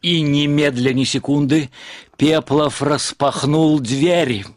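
A man's voice: fairly high pitch, steady tone, slow pace, no room sound, clean studio sound. A man narrating a literary comic story for a radio play. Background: music.

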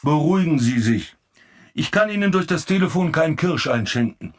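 A man's voice: a little high, expressive, normal speed, lightly acted. An actor playing a dryly exasperated male police commissioner with curt, clipped replies in a German radio play. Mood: brisk, commanding.

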